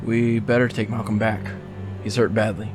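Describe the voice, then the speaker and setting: A man acting in an audio drama as a steady, practical game warden, terse and matter-of-fact. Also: fairly low pitch, normal speed, faint music behind, lightly acted. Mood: steady, urgent.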